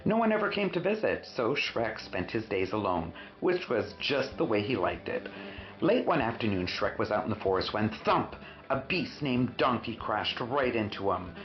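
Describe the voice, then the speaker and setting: A man reading a children's storybook expressively, with dramatic emphasis and drawn-out words. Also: fairly low pitch, sing-song. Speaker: storyteller, host of a read-aloud show. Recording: roomy, muffled sound with faint music.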